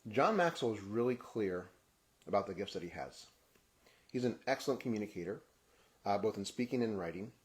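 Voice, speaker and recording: A man raised in Kenya, speaking in a halting, reflective personal monologue that often restarts phrases and trails off softly at the ends. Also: on the low side, animated. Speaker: podcast host.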